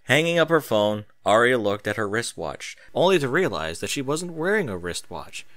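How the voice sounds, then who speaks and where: A man reads dramatic fiction as narrator. His mid-pitched voice is lively and varied in tune, with theatrical emphasis. The recording is dry, with hardly any room sound. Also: normal speed, no room sound. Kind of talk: scripted dramatic story narration.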